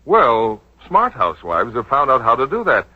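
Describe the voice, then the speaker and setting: A man's voice: mid-range voice, wildly swooping pitch, normal speed, no echo. A man announcing a scripted 1940s radio commercial with an emphatic sales delivery. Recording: dull 1940s radio broadcast recording.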